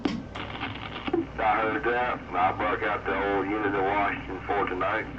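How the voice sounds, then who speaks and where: A man's voice: on the low side, flat delivery, slow pace. A man chatting casually as an operator over CB radio. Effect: CB radio transmission. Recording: dull, very noisy, distant CB radio reception.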